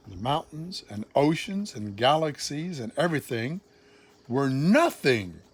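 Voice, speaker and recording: An older man, a grandfather, reading a children's storybook aloud with dramatic, deliberate, expressive phrasing. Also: on the high side, dry recording.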